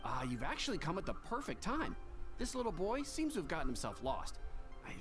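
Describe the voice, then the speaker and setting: A man's voice: on the high side, huge pitch swings, a little fast. A man playing a friendly, helpful pharmacy assistant in a video game. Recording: game music underneath.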